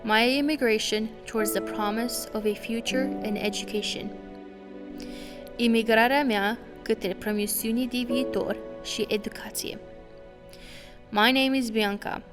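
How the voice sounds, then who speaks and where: A woman in her twenties, born in Romania and a native Romanian speaker, calmly reading her own story as scripted narration. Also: fairly high pitch, measured pace. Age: 20s. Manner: calm, reflective, read aloud line by line. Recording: long reverb with faint music behind.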